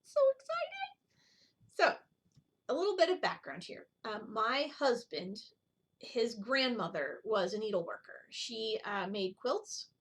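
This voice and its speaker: A woman's voice: on the high side, huge pitch swings, slow, a bit bright, slightly rough. A middle-aged woman chatting casually as the host of a needlework video, rambling and drifting off on tangents. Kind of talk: casual chat, show-and-tell vlog.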